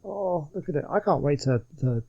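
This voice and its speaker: A man's voice: fairly high pitch, expressive, normal speed, muffled recording, soft background music. A man giving casual game commentary as a let's play host, musing and chatty in a hesitant way.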